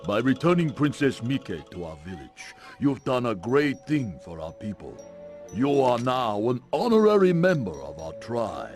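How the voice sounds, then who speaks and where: Pompous chief, video game cutscene, deadpan asides, very animated delivery, music behind. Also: male voice, medium pitch, unhurried. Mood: grandly ceremonial. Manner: grand announcements undercut by deadpan asides.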